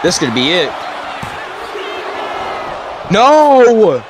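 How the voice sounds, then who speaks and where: A man giving wrestling color commentary, moving between steady analysis and shouted disbelief. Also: sits high, drawn-out words. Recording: loud crowd and noise behind.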